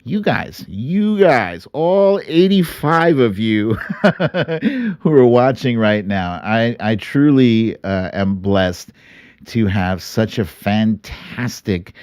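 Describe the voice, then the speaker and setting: A man's voice: on the low side, expressive, takes it slowly, a little mellow, smooth, dry recording, studio quality. A middle-aged man hosting a livestream, chatting casually and earnestly to his followers as he tells stories. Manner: chatty, earnest, chuckles and quotes himself animatedly. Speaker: host of a livestream show. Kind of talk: casual livestream chat to followers, telling stories and reading comments.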